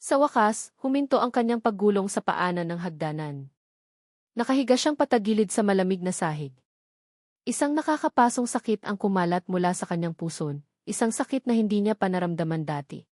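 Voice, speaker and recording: A woman's voice: mid-range voice, expressive, a little fast, darkish tone, no echo, clean studio sound. A woman narrating a scripted romance drama as an audiobook storyteller, in dramatic style.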